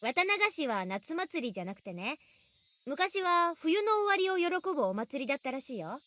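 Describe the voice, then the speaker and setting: A woman's voice: high voice, sing-song, quick pace, sharp tone, full character voice. A woman playing a confident, teasing tomboyish teenage girl in a visual novel, with a breezy, cocky way of talking. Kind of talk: Japanese visual novel voice acting. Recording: game music behind the voice.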